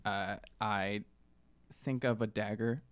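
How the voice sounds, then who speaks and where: A man's voice: lowish voice, fairly even intonation, slow, a bit bright, clear, resonant tone, dry recording. A man chatting casually as a player at a tabletop role-playing game.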